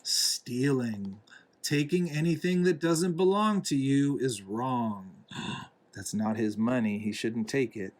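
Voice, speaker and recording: Man, father reading storybook aloud, slow pace, deliberate, instructive, gentle explanations for a small child. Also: medium pitch. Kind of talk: read-aloud storybook narration with asides to a young child.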